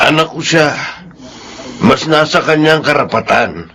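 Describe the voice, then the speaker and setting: A man acting an ailing elderly father and businessman in a Filipino radio drama, patient but firm, with a gravelly voice. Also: on the high side, lively intonation, slow pace, bright, forceful tone, a little hiss or hum, heavily acted. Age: older.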